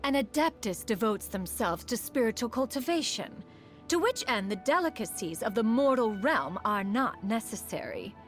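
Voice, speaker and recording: A woman's voice: on the high side, very animated, normal speed, faint music behind. A woman playing a proud, refined female immortal in a video game, speaking in a formal, stately manner, aloof yet fond beneath it. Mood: serene. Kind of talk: scripted video game character monologue.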